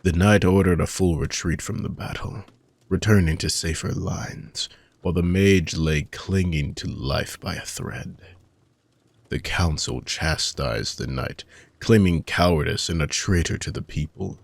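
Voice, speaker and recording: A man playing an ancient, weary dark mage villain with a gravelly, husky voice, speaking in soft, deliberate, formal phrases as an intimate fantasy audio roleplay monologue. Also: low-pitched, no echo, heavily acted. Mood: grave, bitter. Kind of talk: slow, intimate dramatic monologue for sleep-aid audio roleplay.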